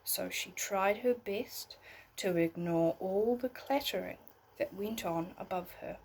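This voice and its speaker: A woman reading a bedtime story aloud in an expressive way, as if talking to young listeners. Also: mid-range voice, measured pace, gravelly, slightly rough, poor audio. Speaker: storybook reader.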